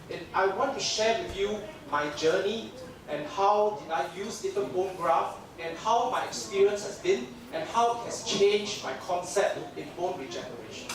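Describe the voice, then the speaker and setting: Singaporean-accented male lecturer, chatty, high-pitched, miked from far off in a reverberant hall. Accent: Singaporean English. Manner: chatty, joking, many fillers. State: jet lagged. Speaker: dental lecturer. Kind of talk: conference lecture. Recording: echoey hall mic from far off, audience noise.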